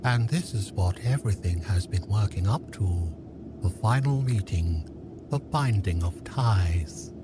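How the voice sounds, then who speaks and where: An actor playing a grave, smug, scheming villain in an audio drama, measured and portentous in manner. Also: male voice, low-pitched, animated, over music. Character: scheming male manipulator, grave, smug, menacing.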